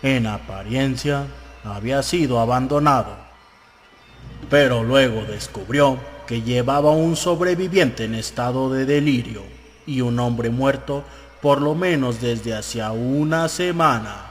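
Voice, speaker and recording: A man's voice: mid-range voice, a little slow. A man narrating an audiobook, reading out a newspaper report in a dramatized style. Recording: long hall reverb, music behind. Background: music.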